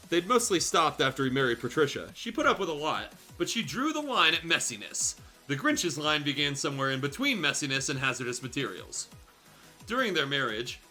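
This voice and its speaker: A man with a bright, slightly high voice reads a comic story aloud in a very animated way. He slips between narration and irreverent, sweary asides like a casual show host. Music plays behind him. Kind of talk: comedic fan fiction reading with casual asides.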